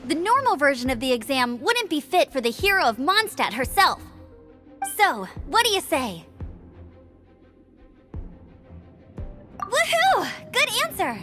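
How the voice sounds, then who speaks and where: A woman's voice: very high voice, bright, forceful tone, exaggerated voice. A woman voicing a spirited young female scout and gliding champion in a video game, eager and bossy, talking over faint game music. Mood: upbeat. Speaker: game character.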